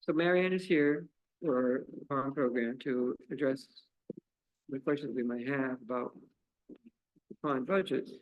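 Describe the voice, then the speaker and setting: A man chairing a town board meeting, speaking in a halting way with uhs and restarts. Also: medium pitch, muffled recording.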